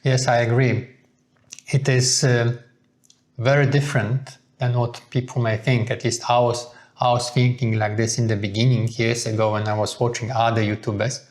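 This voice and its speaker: A man co-hosting a relaxed sit-down chat for viewers, explaining things in an earnest, measured way. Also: mid-range voice. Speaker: co-host of a couple's video channel.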